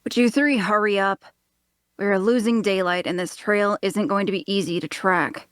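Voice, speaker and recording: A woman playing a flippant, impatient tracker with a brisk, sardonic manner in a fantasy drama table read. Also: medium pitch, clean tone, dry recording, studio quality, close to an everyday voice. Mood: sardonic impatience. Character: blunt, flippant, impatient tracker of an adventuring party.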